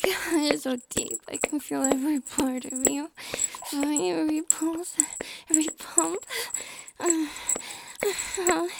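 Very high-pitched, slow whispering woman. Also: background noise. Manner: soft whispering, breathy sighs and gasps; pleading, submissive delivery. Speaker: audio roleplay performer. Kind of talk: intimate adult audio roleplay.

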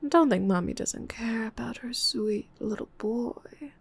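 A young woman speaking very close to the mic in an intimate audio roleplay, breathy and whispery, with frequent sighs. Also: on the high side, a little slow.

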